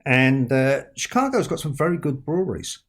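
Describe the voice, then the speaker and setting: A middle-aged man with a London accent talks over a video call at an ordinary, middle pitch. He is chatty and teasing, often laughing between his words. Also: very animated, moderate pace. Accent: London English. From England. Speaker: author guest. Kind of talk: casual podcast chat.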